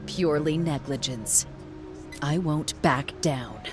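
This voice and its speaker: Game casting: a devoted young female warrior guard, low register, unhurried and solemn, music underneath. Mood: resolute. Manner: formal, restrained, solemn delivery. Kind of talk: scripted video game character voice lines.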